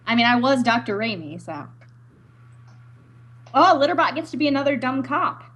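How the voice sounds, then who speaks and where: A woman chatting casually in a group, with an animated, teasing manner. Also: high voice, moderate pace, very noisy. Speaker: voice actor in radio play readings.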